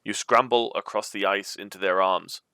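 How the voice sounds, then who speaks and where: A man's voice: lowish voice, fairly even intonation, normal speed, gravelly, pushed and bright, no echo. A man reading an adventure storybook aloud as its narrator. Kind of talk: reading a choose-your-own-adventure book aloud.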